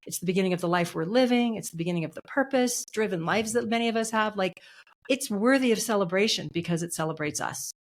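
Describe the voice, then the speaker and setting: A woman's voice: mid-range voice, fast. A middle-aged woman being interviewed as an author and coach, speaking earnestly and emphatically, repeating her key phrases. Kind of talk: podcast interview.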